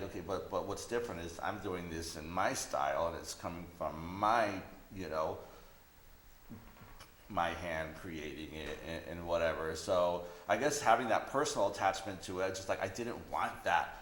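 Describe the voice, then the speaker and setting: A man's voice: deep voice, moderate pace, fairly bright tone, roomy sound, slight background noise. A man talking casually and reflectively as an artist being interviewed, often acting out imagined bits of dialogue to explain himself.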